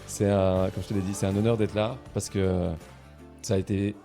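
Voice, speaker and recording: A podcast host with a very low, darkly mellow voice. He talks in a relaxed, halting way, stopping and restarting his sentences as he chats with his guest. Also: soft background music. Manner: hesitant, repeats and restarts phrases. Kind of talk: casual interview chat.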